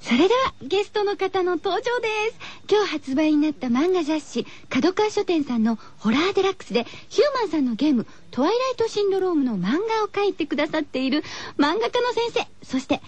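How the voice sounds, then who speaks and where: A woman's voice: very high-pitched, sing-song, fairly quick, darkish tone. A woman hosting a radio show from a studio, chatty but scripted, with cutesy, playful phrasing.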